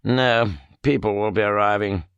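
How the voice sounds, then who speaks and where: A man's voice: lowish voice, fairly even intonation, normal speed, clean tone, no room sound, lo-fi sound, lightly acted. A man playing a curt, irritable chief inspector. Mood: curt, dry. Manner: curt, dryly ironic. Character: irascible, dry middle-aged male chief inspector.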